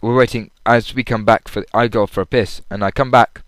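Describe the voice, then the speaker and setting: A man's voice: lowish voice, steady tone, brisk, dry recording. A man telling an anecdote in a casual, rambling solo audio log. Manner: rambling, acts out quoted lines loudly. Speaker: audience member recapping a comedy show.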